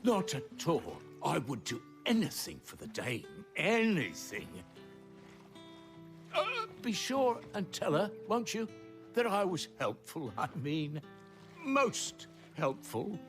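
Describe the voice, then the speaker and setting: Wordy, fawning trader for a game cutscene, highish slow voice, music behind. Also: male voice, wildly swooping pitch, exaggerated voice. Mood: ingratiating. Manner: haughty then obsequious, wordy, hesitant. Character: flustered, fawning male market trader eager to please. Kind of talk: video game cutscene acting.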